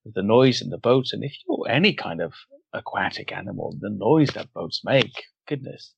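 Gravelly low-voiced man, muffled dry audio. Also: expressive, moderate pace, dry recording. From Wales. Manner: thoughtful, searching for words. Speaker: guest author. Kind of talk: casual interview conversation.

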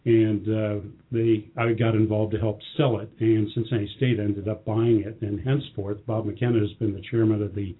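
A middle-aged or older man with a slightly husky voice, answering interview questions in a plain-spoken, affirming, conversational way. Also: fairly low pitch, flat delivery, moderate pace, hardly any pauses. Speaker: company president, interview guest. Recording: muffled, band-limited lo-fi audio.

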